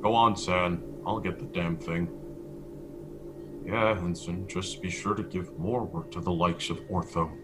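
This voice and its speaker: Clearly noisy audio of a man playing a sardonic starship engine-room worker in a radio play. He is gruff, with a mid-pitched male voice. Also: steady tone, a little slow.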